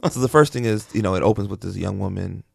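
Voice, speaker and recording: A man's voice: sits low, quick pace, gravelly, husky, no room sound, clean studio sound. A man co-hosting a review show in casual conversation. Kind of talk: casual chat.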